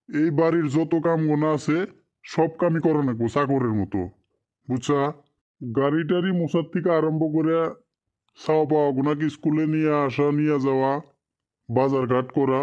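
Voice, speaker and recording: A man as a callous, high-pitched bullying boss. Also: darkish tone, highs cut off, close to an everyday voice. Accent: rural Bengali dialect. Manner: scolding, dismissive. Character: callous, exploitative boss and his bullying henchman. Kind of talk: cartoon drama dialogue.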